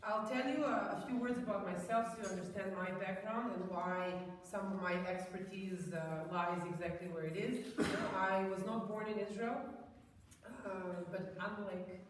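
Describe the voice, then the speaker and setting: A woman from Serbia giving a talk to guests at a private home, pausing often with ums and restarting her sentences. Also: mid-range voice, fairly even intonation. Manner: hesitant, many ums and restarts. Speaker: guest speaker. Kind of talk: public talk at a home event. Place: large echoey room in a private house. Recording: echoey room, far from mic, faint noise.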